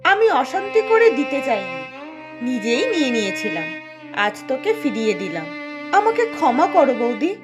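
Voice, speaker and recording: Cartoon role: woman as remorseful aunt, high animated pitch, contrite and emotional. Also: normal speed, over music. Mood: remorseful, tender. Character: remorseful, once-quarrelsome aunt making amends. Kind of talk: cartoon voice acting.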